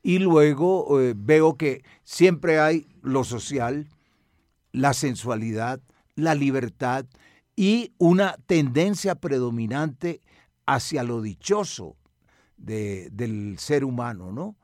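An older man with a Colombian accent gives reflective interview answers. He speaks in a measured, articulate way with formal wording, at a middling pitch. The sound is dry, with hardly any room in it. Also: lively intonation, darkish tone, no room sound. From Medellín, Colombia. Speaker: cultural radio broadcaster and music researcher.

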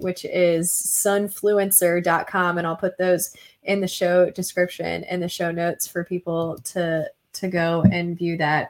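A woman hosting a podcast interview, speaking in an encouraging, steady way. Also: lowish voice. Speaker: podcast host.

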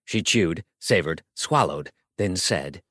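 A man's voice: low-pitched, lively intonation, slow, pushed and bright, dry recording, clean studio sound. A man narrating a comic story as a scripted audiobook, theatrical and wry, savoring his phrases.

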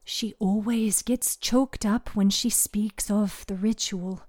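A woman acts a girl of twelve in a narrated audio drama. She sounds earnest and proud, with youthful eagerness and a little hesitation. The tone is soft and mellow. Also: mid-range voice, fairly even intonation, slow, no echo. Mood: confiding.

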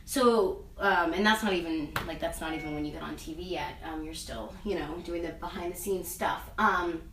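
A woman's voice: low voice, moderate pace, fairly bright tone, a little hiss or hum. Young woman rambling in an echoey room. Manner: rambling, heavy use of "like" and "um". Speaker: interviewee, singer and actress. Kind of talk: casual interview chat. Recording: echoey room, mic at a distance, faint ambience.

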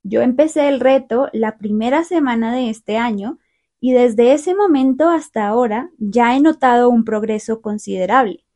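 A young woman hosting a learner podcast, talking through a calm, clear, scripted monologue. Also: a little high, moderate pace. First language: Spanish. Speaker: podcast host.

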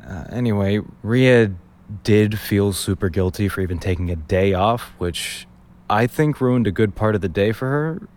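A man's voice: on the low side, moderate pace, darkish tone, close to an everyday voice. A man playing a wry, grumbling young man in naturalistic audio drama, conversational and dryly sarcastic. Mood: hesitant, reflective.

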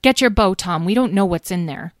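A woman's voice: medium pitch, lively intonation, a little fast, dry recording, clean studio sound, lightly acted. A woman voicing a bold young storybook heroine who is exasperated, protective and forthright. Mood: firm. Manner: forthright, exclamatory. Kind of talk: children's audio story.

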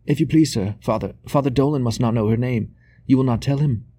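Man voicing an agitated, stammering messenger, pitched up. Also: fairly quick, dark tone, slightly rough, natural voice. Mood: nervous, urgent. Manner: hasty, stammering, deferential. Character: agitated, furtive male messenger, servile and pleading.

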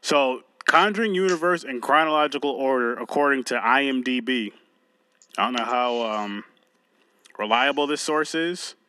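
A man's voice: medium pitch, normal speed, pushed and bright. A man co-hosting a podcast, chatting loosely with a friend, joking and swearing as he goes. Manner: loose, joking, profane banter. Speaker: podcast co-host. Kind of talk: casual chat.

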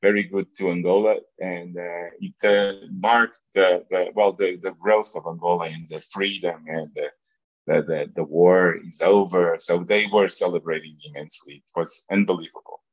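A middle-aged man with a Portuguese accent, recalling things in an interview in halting English, repeating words as he searches for phrasing. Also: sits low, steady tone. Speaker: interview guest, football business executive. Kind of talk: conversational interview answers, personal recollection. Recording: echoey room, dull lo-fi sound.

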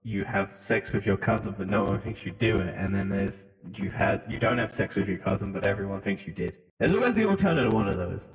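A man's voice: low-pitched, expressive, brisk, few pauses. A man co-hosting a podcast in casual, crude banter, swearing and teasing freely. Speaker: podcast co-host. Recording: echoey reverberant room sound.

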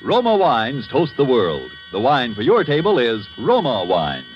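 A man's voice: medium pitch, huge pitch swings, unhurried. A man announcing a sponsor's advertisement in 1940s radio style. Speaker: commercial announcer. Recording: muffled 1940s radio, loud music.

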